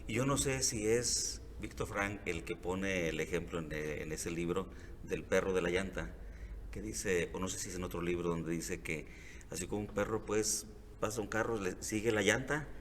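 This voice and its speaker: A man's voice: deep voice, normal speed, a bit bright. A man, a guest in a reflective podcast talk, who explains his points with casual examples. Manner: conversational, gives examples. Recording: hall reverb with faint noise.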